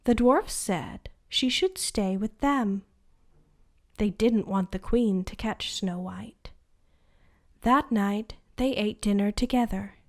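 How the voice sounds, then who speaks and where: A woman narrating a bedtime story at a very slow, lulling pace. Her voice is soft and hushed, often dropping to a breathy near-whisper meant to soothe children to sleep. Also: medium pitch. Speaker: host and storyteller.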